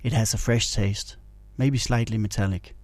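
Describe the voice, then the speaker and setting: A man guiding a meditation in a calm, soothing, instructional way. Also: on the low side, moderate pace, no room sound. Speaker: meditation guide. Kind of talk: guided meditation narration.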